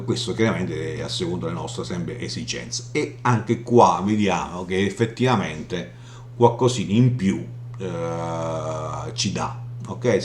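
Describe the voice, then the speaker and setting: A man giving an informal software walkthrough, explaining haltingly and often checking in as he goes. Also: low-pitched. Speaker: software tutorial presenter. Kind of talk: informal screen demo walkthrough. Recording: roomy echo, audible background hum noise.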